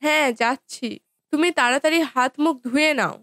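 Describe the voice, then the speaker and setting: A woman playing a dutiful, gentle young daughter-in-law in a cartoon family drama, with a deferential, soothing manner. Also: high voice, fairly quick, dry recording, clean studio sound. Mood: obliging.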